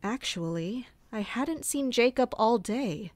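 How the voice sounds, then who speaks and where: A woman playing an observant mercenary who serves a prince, speaking in a formal, measured, deferential way for an audio drama. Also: fairly high pitch, lively intonation, no room sound. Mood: reflective, observant. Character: Kina, observant female mercenary serving a prince. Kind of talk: audio drama dialogue and inner narration.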